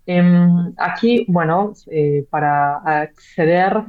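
Woman, low pitch, hesitant, fillers and restarts, interview guest, muffled lo-fi sound. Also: slow. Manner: hesitant, many fillers and repeated words. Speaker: author and blockchain real estate guest. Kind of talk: interview answers. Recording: dull lo-fi sound.

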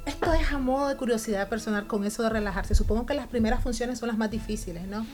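A woman with a Nicaraguan Spanish accent hosts a casual interview, her voice pitched somewhat high. She asks curious, chatty questions and teases her guests now and then. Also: quick pace, darkish tone, faint music behind.

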